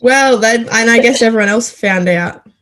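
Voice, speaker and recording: Co-host giggly young Australian woman, high-ish voice. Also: moderate pace, fairly bright tone. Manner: giggly, chatty, frequent laughter, high squeals when mimicking. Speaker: podcast co-host. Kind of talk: casual chat about rugby league. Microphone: video call.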